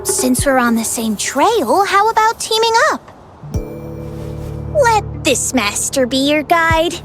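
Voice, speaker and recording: A woman's voice: high-pitched, sing-song, takes it slowly, music in the background, exaggerated voice. A woman voicing a cheeky, boastful young girl adventurer in a video game, teasing and self-assured. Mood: teasing, eager. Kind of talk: video game character dialogue.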